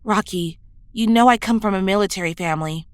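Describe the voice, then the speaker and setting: A woman's voice: mid-range voice, fairly quick, smooth, no room sound, natural voice. A woman acting an earnest, idealistic young superhero in an audio drama, reflective and sincere. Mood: earnest, pleading.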